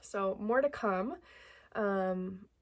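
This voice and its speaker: A woman's voice: mid-range voice, extremely slow. A young woman with a stuffy nose from a cold, chatting casually about her knitting as a podcast host, with lots of ums. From Greater Portland, Maine. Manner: chatty, many ums, friendly. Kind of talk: casual chat to camera.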